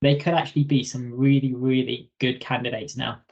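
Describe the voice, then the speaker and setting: Male trainer, mid-pitched, informal webinar delivery, slightly muffled lo-fi sound. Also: flat delivery, normal speed, gravelly, a little mellow. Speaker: software trainer co-presenting a webinar. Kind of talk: informal instructional presentation. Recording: slightly dull lo-fi webinar audio.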